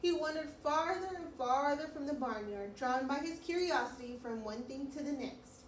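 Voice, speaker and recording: A woman's voice: high-pitched, expressive, moderate pace. A woman speaking as a preschool teacher, reading and chatting simply for young children. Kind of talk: children's read-aloud and lesson chat. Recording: roomy, distant mic, faint noise.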